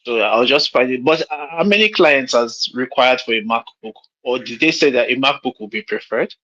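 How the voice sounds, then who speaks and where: A Nigerian-accented man in his late thirties talks frankly over a call, circling back and restarting as he gives advice. His voice has a bright, forceful edge. The audio is a little dull and lo-fi. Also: medium pitch, moderate pace. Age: late 30s. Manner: frank, rambling, repeats himself with restarts. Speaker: mentor hosting a call.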